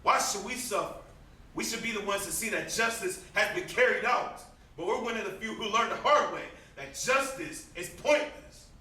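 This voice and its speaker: A man's voice: high-pitched, animated, normal speed, pushed and bright, heavily acted. A young man on an amateur stage playing a bitter, guilt-ridden brother in mourning, with vehement, declamatory delivery. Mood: bitter despair. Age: young adult. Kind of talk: amateur stage drama acting. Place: indoor stage, recorded from a distance. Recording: very echoey, noisy stage recording from far off.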